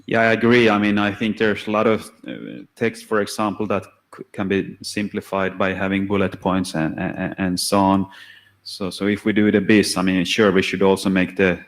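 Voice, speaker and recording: A man discussing a draft at a technical meeting, halting and often repeating his words. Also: low voice, steady tone. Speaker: draft author presenting. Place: standards meeting room.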